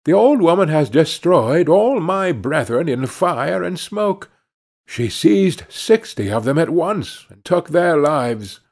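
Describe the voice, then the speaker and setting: Plaintive talking straw, voiced by a man, slightly husky. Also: a little high, very animated, takes it slowly, darkish tone, pitched above the performer's own voice, exaggerated voice. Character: talking straw, lamenting lost brethren, hopeful and helpful.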